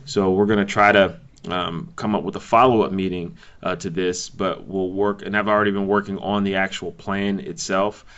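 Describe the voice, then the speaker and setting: A man with a low voice runs a meeting by conference call. He speaks informally and haltingly, working out his points as he goes. The sound is dull and lo-fi. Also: little pitch movement, fairly bright tone. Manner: halting, hedging, thinking aloud.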